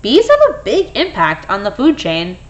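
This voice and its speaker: A woman reading a children's picture book aloud in an expressive way, with brief explanatory asides for young listeners. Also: medium pitch, moderate pace, dull audio. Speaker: storybook reader.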